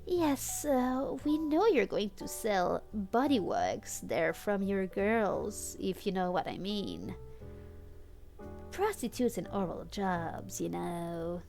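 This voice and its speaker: A scheming young stoner man, acted by a woman, coaxes and bargains in a slow, creaky voice. He hesitates as he works on his friend, in a story read-aloud with faint music behind. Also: medium pitch, very animated, a little mellow, full character voice. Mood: scheming, hesitant.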